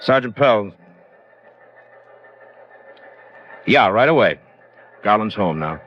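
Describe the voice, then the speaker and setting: An actor playing an easygoing, helpful American police sergeant, casually giving information in an old radio drama. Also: male voice, on the low side, animated, normal speed, faint music behind, lightly acted. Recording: muffled vintage radio recording.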